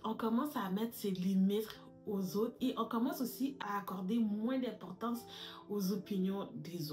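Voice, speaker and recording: A woman's voice: mid-range voice, darkish tone. A woman, giving advice straight to camera as a lifestyle YouTuber, calling her viewers "girls" and mixing in English words. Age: 30s. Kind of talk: direct-to-camera advice vlog.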